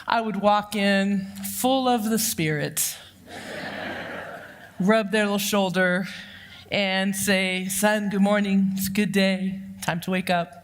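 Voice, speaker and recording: Loud-voiced woman preaching in a reverberant church. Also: mid-range voice, slow pace. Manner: lively, humorous, anecdotal. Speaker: church counseling director giving the sermon. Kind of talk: personal storytelling sermon. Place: church sanctuary. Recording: long reverb of a church hall.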